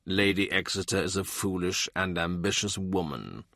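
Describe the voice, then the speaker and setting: A man's voice: low voice, expressive, normal speed, gravelly, pushed and bright, no room sound, studio quality, pitched below the performer's own voice, exaggerated voice. A man voicing a stern, gloomy, devout middle-aged former statesman with a curt, dour manner in an audiobook. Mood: scornful.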